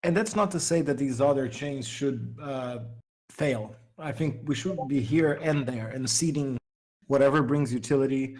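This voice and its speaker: A man with a mid-pitched voice, leading a casual group discussion. His language is Portuguese, and he speaks over an online voice call. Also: normal speed, darkish tone, low-quality recording. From Brazil. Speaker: participant leading an open-stage livestream. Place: remote voice call.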